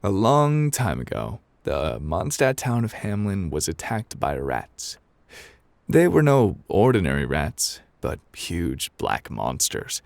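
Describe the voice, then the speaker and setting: Guy doing a gruff game character reading asmr bedtime story, deep n crackly, kinda indifferent, pauses for drama. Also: no echo, exaggerated voice.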